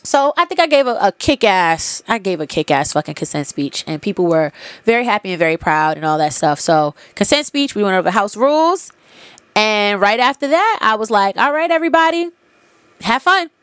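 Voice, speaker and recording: A young woman with a blocked, congested nose talks through a story in a loose, unfiltered way, swearing freely and circling back with plenty of fillers. Her pitch sits in an ordinary middle range. The sound is close and dry, with almost no room in it. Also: very animated, moderate pace, a bit bright, smooth, dry recording. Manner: candid, profane, loose retelling with many fillers. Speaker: podcast host. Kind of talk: casual storytelling monologue.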